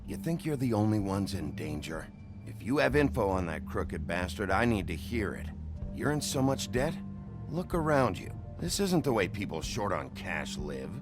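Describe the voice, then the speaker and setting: An actor playing a gruff, weary, blunt sheriff in a cinematic video game, with a gravelly voice. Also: male voice, on the low side, very animated, normal speed. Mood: insistent, irritated. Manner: terse, blunt. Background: music.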